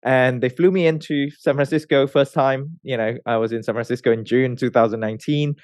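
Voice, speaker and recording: A man in his thirties from Malaysia, a research scientist telling his career story in a relaxed way, with many fillers and restarts. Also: mid-range voice, brisk, dry recording, clean studio sound. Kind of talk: conversational interview answers.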